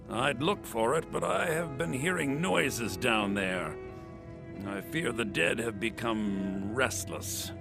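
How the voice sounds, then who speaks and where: A man playing an earnest, troubled priest of the dead in a fantasy game, solemn and theatrical, with a gravelly voice. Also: mid-range voice, full character voice. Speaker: voice actor in a fantasy video game.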